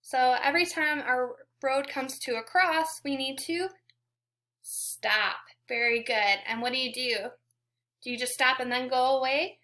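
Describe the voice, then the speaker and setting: A woman's voice: high-pitched, unhurried, pushed and bright. A woman teacher reading a picture book aloud to young children, asking questions and giving frequent encouraging praise. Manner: encouraging, prompting, repeats praise to listeners.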